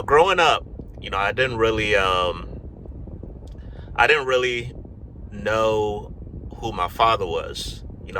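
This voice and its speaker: Deep-voiced Ghana-born man telling his story. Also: slight background noise, poor audio. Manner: relaxed, rambling, many fillers and restarts. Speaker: YouTube vlogger. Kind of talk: casual personal storytelling to camera.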